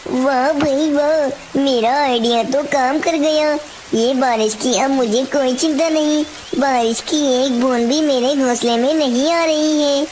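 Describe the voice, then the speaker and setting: A woman voicing a caring, hardworking mother bird in a cartoon story, with exclamatory, expressive delivery. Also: sits high, measured pace. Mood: delighted. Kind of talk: Hindi cartoon story dialogue. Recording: hissy, clearly noisy background.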